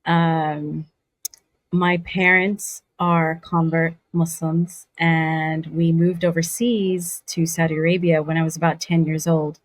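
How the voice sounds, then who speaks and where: Woman from socal, slow easy talker, lowish voice, laughs a lot, dry mic. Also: dry recording. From Southern California. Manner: relaxed, unhurried, laughs easily. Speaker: guest, voice actor. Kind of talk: casual interview chat. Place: home in Scotland. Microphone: remote video call.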